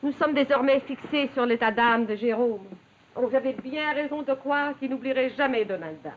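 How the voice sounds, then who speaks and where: A woman's voice: high voice, moderate pace, close to an everyday voice. An actress playing a self-righteous, prying lady of the house with refined, formal diction in a period television drama. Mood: concerned. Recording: thin, narrow, hissy 1950s television soundtrack.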